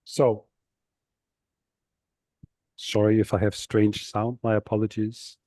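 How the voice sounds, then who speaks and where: A middle-aged man from Denmark giving a relaxed, explanatory webinar presentation over a video call. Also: lowish voice, slow, no echo. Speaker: nonprofit executive director giving a talk. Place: seated on stairs of a train station.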